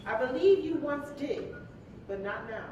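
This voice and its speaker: On stage, an anguished wife who feels neglected, pressing her case with dramatic emotion. Her voice is high. The recording is distant and heavily echoing, as in a live hall. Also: animated, unhurried, a little mellow. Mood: fed up. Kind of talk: staged play reading, dramatic dialogue. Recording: very echoey live hall, distant mic, rumble.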